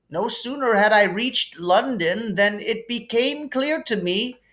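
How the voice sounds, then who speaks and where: A man voicing a kindly, whimsical elderly wizard headmaster in a storybook reading, with calm, gently formal phrasing. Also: high-pitched, voice pushed up, full character voice. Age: older. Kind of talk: audiobook character reading.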